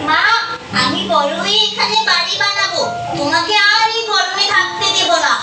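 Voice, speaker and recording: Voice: very high-pitched, normal speed. A performer voicing a determined, protective young boy in a puppet show, speaking in an earnest, pleading way. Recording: very echoey, distant, loud music behind.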